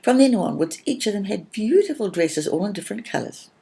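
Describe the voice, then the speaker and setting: Kindly storybook female a woman narrator acting her: cheerful, reassuring, soft mellow voice with slight creak. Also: medium pitch, lively intonation, fairly quick, pitched above the performer's own voice. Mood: happy, reassuring.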